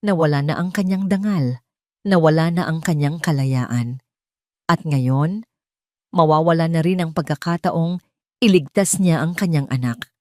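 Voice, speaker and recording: Female narrator, Filipino accent, lowish register, scripted audio-drama storytelling, dry close recording. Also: a little slow, long pauses, darkish tone, no room sound.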